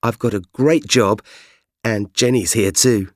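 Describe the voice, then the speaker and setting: A man's voice: on the low side, measured pace, no room sound, clean studio sound. A man with a British accent, playing a young writer in a scripted language-course dialogue, easygoing and apologetic. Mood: friendly. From Britain. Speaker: magazine writer character.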